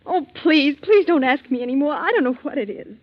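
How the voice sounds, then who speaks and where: A woman's voice: high voice, lively intonation, brisk. An actress playing an anxious but resolute young woman in a 1940s radio thriller, tremulous and earnest, on a tinny recording. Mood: alarmed.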